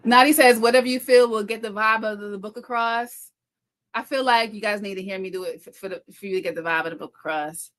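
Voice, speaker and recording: A woman hosting a casual livestream, reading out comments and chatting in a quick, stumbling way, often repeating her phrases. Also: mid-range voice, fairly bright tone. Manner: talks fast, stumbling and repeating herself. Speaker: livestream host and content creator. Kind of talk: casual live chat reading viewer comments aloud.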